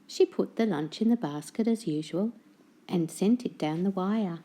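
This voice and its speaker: A woman reading a children's storybook aloud as narrator, with deliberate, dramatic phrasing. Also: medium pitch, mellow.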